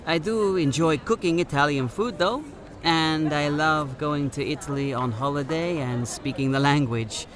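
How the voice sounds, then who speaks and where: A man's voice: medium pitch, close to an everyday voice. A man in his thirties from Brazil, a native Portuguese speaker, chatting thoughtfully as a street interviewee. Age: 30s. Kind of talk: scripted course-book interview. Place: busy street outdoors. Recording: street interview with clear crowd noise. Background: crowd and traffic.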